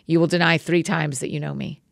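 A calm, gentle male teacher speaks with quiet authority, as a woman reads his lines. The voice sits low with a slight gravelly fry. It is a dry, close recording. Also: dry recording. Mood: solemn. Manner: measured, solemn reading.

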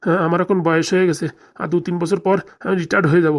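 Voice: mid-range voice, level tone, very fast, natural voice. A performer voicing an anxious, aggrieved middle-aged Bengali father in a comedy cartoon, lamenting and rambling in a rural dialect. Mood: bitter, pleading. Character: anxious, aggrieved father nearing retirement, fretting over lost money.

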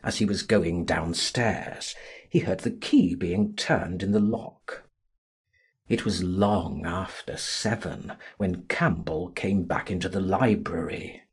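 A man narrating a classic novel as a dramatic audiobook, theatrical and deliberate in his delivery. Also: deep voice, animated, husky.

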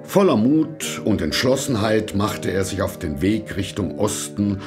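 A man narrating a fairy tale from a script in a measured, expressive storytelling manner. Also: on the low side, music in the background.

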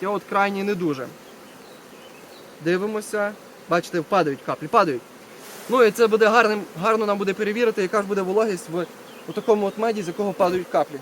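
Man, high-pitched voice, casual beekeeping demonstration, short repeated phrases, outdoors, faint insect buzz. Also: fairly bright tone. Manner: talks to viewers while working, repeating short phrases. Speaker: beekeeper presenting a video. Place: outdoors at an apiary. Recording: outdoor recording with buzzing bee noise. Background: buzzing bees and insects.

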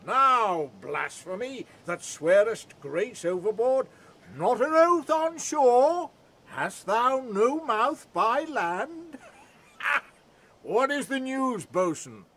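Man acting very high, excitable mocking old man. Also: drawn-out words, breathy edge, heavily acted. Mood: gleeful mockery. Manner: exclamatory, teasing. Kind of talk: Shakespearean audio drama acting.

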